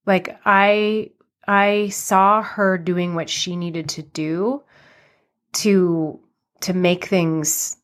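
Lowish-voiced woman, a little nasal. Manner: chatty, rambling with many fillers and restarts. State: slightly nasal from a cold. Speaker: podcast host. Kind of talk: casual personal storytelling.

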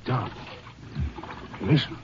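An American man playing an anxious, folksy sidekick in a 1950s radio western. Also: very slow. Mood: hushed urgency. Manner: folksy, urgent. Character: excitable, anxious sidekick tagging along with the Ranger. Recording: muffled, noisy vintage radio recording.

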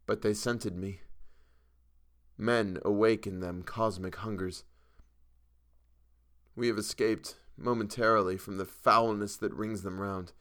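A man's voice: fairly low pitch, steady tone, a bit bright. Man acting a terrified, half-mad raving man. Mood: haunted, awed. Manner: hysterical, drawn-out, rising from gasps to screams. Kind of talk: dramatic horror audiobook character reading.

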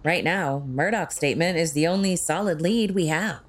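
Sardonic female tech sleuth, lowish voice, quick-witted, wry, audio drama. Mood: analytical, confident.

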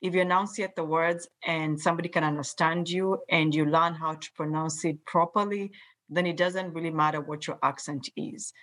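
A Kenyan woman with a fairly low voice speaks as a panel guest. She talks in a measured, deliberate way and sounds out each word with care. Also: steady tone, a bit bright. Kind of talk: panel discussion.

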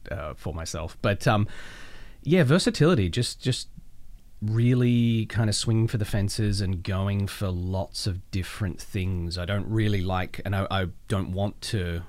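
A man with an Australian accent talking casually as an interview guest, haltingly, as if thinking aloud. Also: fairly low pitch, darkish tone. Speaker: voice actor, podcast guest.

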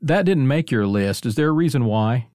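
A man's voice: medium pitch, lively intonation, moderate pace, darkish tone, dry recording, studio quality. A man hosting a conversational interview, commenting and asking questions. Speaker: interviewer, host.

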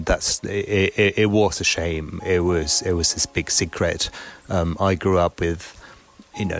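Halting, deep-voiced man in his forties. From Argentina. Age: 40s. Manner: halting, repeats words and restarts often. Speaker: storyteller guest. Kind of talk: personal life story, casual monologue. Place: small weekend cabin by a lake. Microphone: phone voice recordings.

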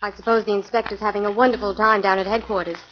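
An actress playing a playful, flirtatious assistant in a 1940s radio drama. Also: female voice, medium pitch, little pitch movement, quick pace, slight background noise, close to an everyday voice. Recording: muffled 1940s radio recording.

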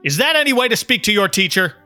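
Man voicing a sharp, scolding school principal. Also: high-pitched, very animated, fast, soft background music, pitched above the performer's own voice, heavily acted. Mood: snapping. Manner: snapping, scolding. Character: stern, irritable male school principal. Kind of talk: storybook narration character voice.

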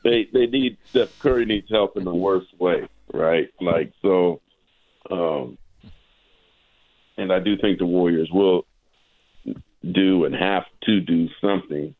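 Low-voiced man, halting basketball talk. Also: a little mellow, dry recording, poor audio. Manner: halting, repeats words, often tags points with "right?". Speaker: sportswriter guest. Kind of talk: casual basketball discussion.

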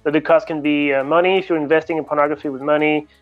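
A man's voice: on the high side, fairly even intonation, fast, muffled recording, soft background music. A man from France with a French accent, a native French speaker, answering interview questions as a coach in a candid, reflective way. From France, grew up in Paris. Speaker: guest, coach.

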